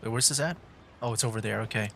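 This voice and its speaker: A man's voice: fairly low pitch, quick pace, gravelly, fairly bright tone, a little hiss or hum. A man doing casual, rambling livestream commentary on a game, with an amused manner. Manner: rambling, amused, given to chuckling. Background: faint game music.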